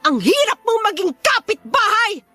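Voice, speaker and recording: A woman's voice: very high voice, big pitch swings, normal speed, sharp tone, no room sound, soft background music, full character voice. An actress with a Filipino accent playing an exasperated, confrontational woman neighbour in a radio drama. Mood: hurt, indignant. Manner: indignant, confrontational, demanding.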